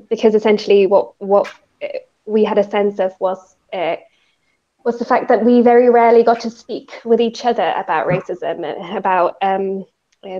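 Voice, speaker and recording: A woman's voice: medium pitch, warm tone. Woman on a muffled video call. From Sweden. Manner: reflective, many fillers and hedges. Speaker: panelist, poet and author. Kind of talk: video call panel discussion. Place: plain indoor room. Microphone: wired earphone mic on video call.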